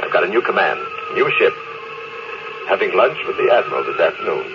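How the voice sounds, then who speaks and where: An actor with an American accent playing a proud, emotionally closed captain in a classic radio drama, with intense, clipped delivery. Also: male voice, sits low, expressive, a little fast. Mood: firm, placating. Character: proud, stern, emotionally closed spaceship captain and fleet commander. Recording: noisy, dull old radio recording.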